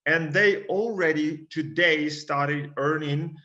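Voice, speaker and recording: A man's voice: on the high side, slow pace, pushed and bright, lo-fi sound. A man in his thirties, born in Ukraine, presenting a live career webinar as a consulting coach, with many fillers and restarts. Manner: fillers, restarts, urges viewers to use the chat.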